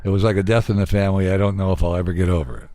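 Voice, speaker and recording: Radio host: man, deep pitch, conversational interviewing style, dry close recording. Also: steady tone, racing, warm tone, dry recording.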